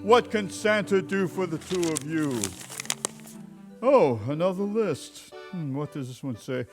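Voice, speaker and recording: A man acting a jolly, quick-witted department store Santa who is kindly with children, in a live stage radio play. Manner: booming ho-ho-ho greetings, dry asides. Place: live on stage at a recreation center.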